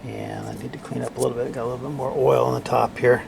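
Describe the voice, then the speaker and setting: A man giving casual hands-on repair commentary, haltingly thinking aloud as he works. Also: mid-range voice, fairly even intonation, vocal fry. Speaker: repairer demonstrating his work. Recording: faint background noise.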